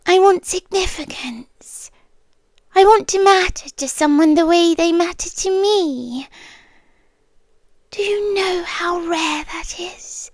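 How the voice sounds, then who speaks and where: A young British woman voicing an obsessive young girlfriend who has kidnapped her partner, speaking softly and often dropping into whispers, close to the microphone in an ASMR roleplay. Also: high-pitched, dry recording, heavily acted. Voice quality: calm, intimate, unsettling. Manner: soft-spoken, slipping into whispers.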